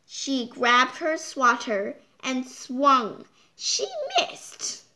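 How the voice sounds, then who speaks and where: A child reading a picture book aloud, halting and stumbling over words, with exclaimed side comments. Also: sits high, sharp tone. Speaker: storytime reader. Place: indoors, hands holding book before the camera.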